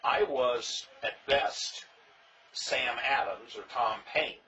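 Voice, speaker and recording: Wry older man with a bright tone. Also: mid-range voice, slow pace, recorded from a distance. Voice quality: loud voice. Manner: wry, dry humor, plainspoken. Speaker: interviewee, former king of a historical reenactment society. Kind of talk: casual storytelling interview. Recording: dull sound, faint noise, from off mic.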